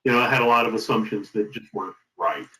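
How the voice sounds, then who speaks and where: A middle-aged man being interviewed as a company founder, giving advice in an emphatic, deliberate conversational way. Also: lowish voice, pushed and bright. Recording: roomy, echoing sound.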